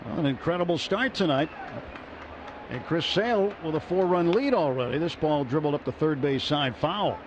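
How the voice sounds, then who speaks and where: A man's voice: on the high side, lively intonation, moderate pace. An American man doing live radio play-by-play commentary of a baseball game. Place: stadium broadcast booth. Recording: dull radio broadcast, loud stadium crowd, noisy. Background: loud crowd and stadium noise.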